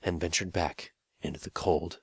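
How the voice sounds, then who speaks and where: Low-voiced male narrator for a dramatic story reading, steady and wry, dry close sound. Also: normal speed, husky, dry recording.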